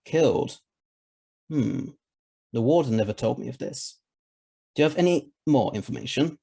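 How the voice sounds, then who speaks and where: A man's voice: medium pitch, animated, moderate pace, vocal fry, dark tone, husky. A man acting in an audio drama as a courteous, inquisitive investigator, speaking formally and politely. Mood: polite, probing.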